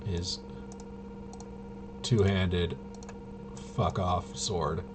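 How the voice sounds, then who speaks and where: A man's voice: sits low, fairly even intonation, very slow, background noise. A man giving casual play-by-play of a tabletop roleplaying game, muttering and thinking aloud as he goes. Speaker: solo tabletop game player and host.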